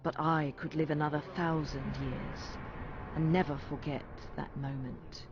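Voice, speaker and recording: A man acting as a long-lived storyteller, narrating a young girl's tale in a measured, wistful, literary manner for a game. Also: on the high side, flat delivery, background noise. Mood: solemn, wistful. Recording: dull audio under loud music.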